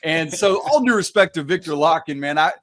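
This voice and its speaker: A man's voice: a little high, lively intonation, fairly quick. A man co-hosting a sports podcast, arguing his points emphatically in casual debate. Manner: emphatic, argumentative. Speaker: podcast co-host.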